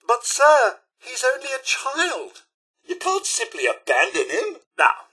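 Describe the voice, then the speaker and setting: Bright British robot butler, swooping intonation, fussy, deferential, loyal, video game companion. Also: male voice, a little high, gravelly, husky, heavily acted. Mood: indignant, reproachful. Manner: formal, prim, deferential, theatrically expressive.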